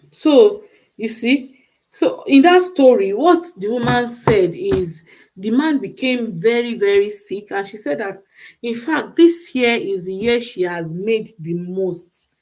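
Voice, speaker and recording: A woman's voice: mid-range voice, lively intonation, slow, a little mellow. A woman with a Nigerian accent talking off the cuff on a livestream, emphatic and repeating phrases for stress. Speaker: livestream host giving relationship advice. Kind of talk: unscripted livestream monologue.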